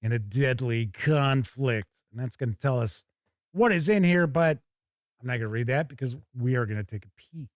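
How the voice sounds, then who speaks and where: A man's voice: mid-range voice, normal speed, no room sound, studio quality. A man hosting a tabletop gaming show, chatting casually and thinking aloud as he gives live first-look commentary.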